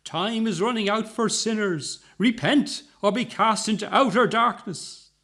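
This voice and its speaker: A man's voice: high voice, a little slow, sharp tone, voice pushed up, heavily acted. A man voicing a zealous, solemn woman street preacher in a story, declaiming in sonorous tones. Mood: solemn warning.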